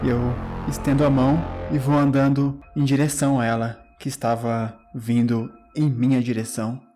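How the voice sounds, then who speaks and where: A man's voice: mid-range voice, level tone, normal speed, warm tone, faint music behind. A man with a Brazilian accent, a player improvising narration in a tabletop RPG. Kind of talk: improvised narration of a character's actions.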